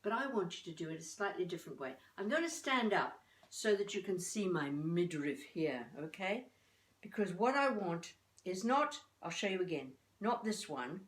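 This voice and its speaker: An older woman giving an informal voice-coaching lesson to camera in an expressive, chatty, encouraging way with playful asides. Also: lowish voice, normal speed. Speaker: voice coach presenting a tutorial. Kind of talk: informal instructional talk to camera. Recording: echoey room, distant microphone.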